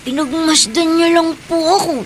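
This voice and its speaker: A woman's voice: very high-pitched, steady tone, moderate pace, slight background noise. An actress playing a trusting, loving little girl in a radio drama. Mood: innocent, wistful.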